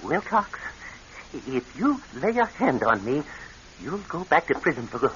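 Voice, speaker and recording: An actor playing a scornful, threatening bank executive in a radio crime drama, pompous and dismissive in delivery. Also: male voice, fairly high pitch, very animated, unhurried, a bit bright. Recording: dull, hissy old radio recording.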